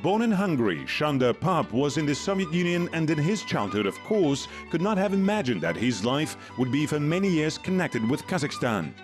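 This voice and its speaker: A man narrating a documentary voice-over, his pitch rising and falling with animated intonation as he reads. His delivery stays measured and scripted. Soft music plays beneath him. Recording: music playing under the voice.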